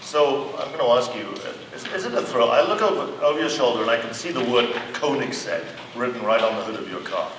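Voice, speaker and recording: A man works as interviewer on a live stage, his medium-pitched voice ringing around a big hall. He asks his questions plainly, with a wry touch, over clear background noise. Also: lively intonation, fast talker, hardly any pauses, fairly bright tone. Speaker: interviewer and presenter. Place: large convention hall stage. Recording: echoey hall, distant, noisy background.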